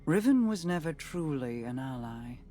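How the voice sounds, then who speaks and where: A woman playing a regal, composed queen in a video game, speaking in a measured, formal, guarded and grave manner. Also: deep voice, big pitch swings, mellow, soft background music. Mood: grave, reflective. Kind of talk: scripted video game monologue.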